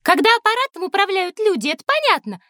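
A woman playing an inquisitive, wide-eyed little child in a children's educational audio drama, full of expressive wonder. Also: very high-pitched, quick pace, no echo, clean studio sound, heavily acted.

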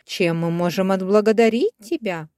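A woman's voice: mid-range voice, normal speed, warm tone, no echo, clean studio sound, lightly acted. A woman voicing a gracious elder mermaid in a storybook, solemn and grateful in manner. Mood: solemn gratitude.

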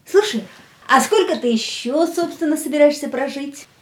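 An actress playing a fatalistic, defiant young woman in a radio drama, theatrical and wistful, with drawn-out words. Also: high voice, lively intonation. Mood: defiant, teasing. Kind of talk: radio drama acting, inner monologue and dialogue.

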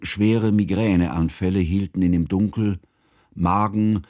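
An actor playing an earnest, scholarly narrator in a literary radio drama, speaking in a formal, measured, solemn way. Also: male voice, deep voice, fairly even intonation, a little mellow, faint music behind. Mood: solemn, concerned. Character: earnest, scholarly male narrator, devoted old friend.

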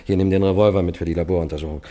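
Mellow, low-voiced police sergeant played by a man. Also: steady tone, racing, close to an everyday voice. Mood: businesslike, probing. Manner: matter-of-fact, probing. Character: calm, methodical male police sergeant investigating a killing. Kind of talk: German radio crime drama acting.

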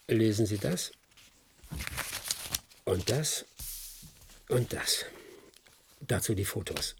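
An actor playing a taciturn, world-weary private detective in a German radio play, dry, terse and deliberate. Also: male voice, fairly low pitch, lively intonation, gravelly, slight background noise, full character voice. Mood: measured, firm.

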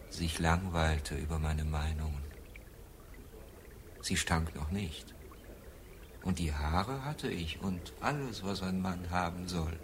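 A slow, deep-voiced melancholy man. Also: a little hiss or hum. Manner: deliberate, measured phrasing with pauses. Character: melancholy man morbidly confessing an inner deadness. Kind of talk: slow literary radio drama monologue.

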